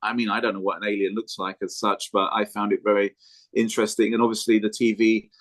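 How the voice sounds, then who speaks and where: Low-voiced hesitant man from England. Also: flat delivery, fairly bright tone. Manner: hesitant, many ums and pauses. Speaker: guest speaker. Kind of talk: self-introduction on a video call. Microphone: video call.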